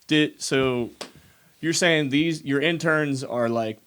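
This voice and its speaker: A man's voice: on the high side, slow, fairly bright tone, clean tone. A young man co-hosting a podcast, asking loose, slangy questions in a casual way. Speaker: podcast co-host.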